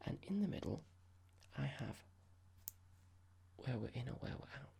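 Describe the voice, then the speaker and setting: A performer voicing a horrible, condescending office boss in a whispered ASMR roleplay, mock-polite and slow, asking pointed, patronising questions. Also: mid-range voice, lively intonation, creaky, mellow, breathy edge, full character voice. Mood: smug, reproachful.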